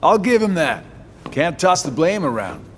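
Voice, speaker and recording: An actor playing a cocky middle-aged rogue villain in a video game, with a taunting, theatrical drawl. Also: male voice, on the high side, big pitch swings, moderate pace, fairly bright tone, slight background noise. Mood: mocking. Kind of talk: video game cutscene voice acting.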